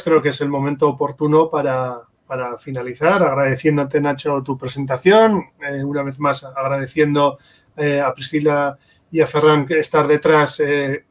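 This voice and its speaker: A man moderating an online conference session, reading questions and thanking people in a courteous, formal way. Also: fairly high pitch.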